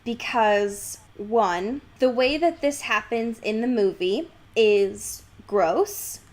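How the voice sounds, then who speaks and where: A woman's voice: fairly high pitch, slow pace, darkish tone. A woman co-hosting a podcast, discussing a book in a casual, slangy, emphatic way with exasperated asides. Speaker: podcast co-host.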